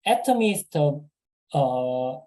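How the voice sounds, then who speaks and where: Halting man, dark voice. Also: on the high side, very animated. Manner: halting, repeats words, many fillers. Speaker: business presenter. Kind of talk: sales seminar talk.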